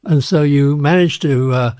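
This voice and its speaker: A man's voice: fairly high pitch, moderate pace, a little mellow, clean tone, no room sound, clean studio sound. A man interviewing a teacher, asking questions hesitantly and stumbling over his words. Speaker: community radio interviewer.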